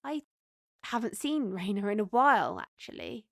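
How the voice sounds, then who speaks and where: A woman's voice: medium pitch, animated, moderate pace, vocal fry, no room sound, studio quality. A woman acting a skeptical, questioning cafe customer in a fantasy audio drama. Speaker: voice actor in a fiction podcast.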